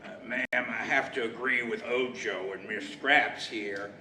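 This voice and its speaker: A man playing a blunt, folksy grown wanderer with drawn-out delivery in an old radio-play style. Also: fairly low pitch, fairly even intonation, sharp tone. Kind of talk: 1940s radio-style stage acting. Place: library stage, microphones on stands. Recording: echoey hall from far off, faint noise.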